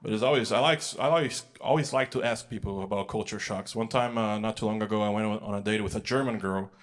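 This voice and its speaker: A man's voice: lowish voice, fast talker. A man, a young adult, performing a stand-up comedy set on a large stage, telling stories loosely with fillers and acting out quotes. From United States. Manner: conversational storytelling with filler words and acted-out quotes. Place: large music hall on stage.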